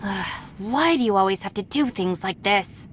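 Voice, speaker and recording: A woman's voice: medium pitch, expressive, moderate pace, slight background noise, natural voice. An actress playing a weary, sensible young woman, a gang deputy in a video game, matter-of-fact, with music behind. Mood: resigned. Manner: matter-of-fact, long-suffering. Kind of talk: game voice acting.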